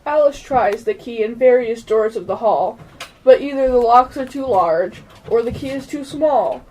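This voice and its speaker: A woman's voice: a little high, moderate pace, warm tone, poor audio. A female amateur announcer reading scripted running narration in a radio play.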